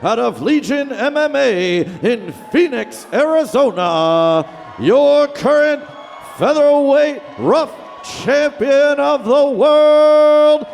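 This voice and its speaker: A man's voice: very high voice, animated, takes it slowly, gravelly, darkish tone. A man working as a ring announcer, giving ceremonial, theatrical fight introductions with stretched-out words over an arena PA. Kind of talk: ceremonial fight introductions, drawn-out shouted names. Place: indoor arena. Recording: echoing arena PA with music, crowd. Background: music and crowd.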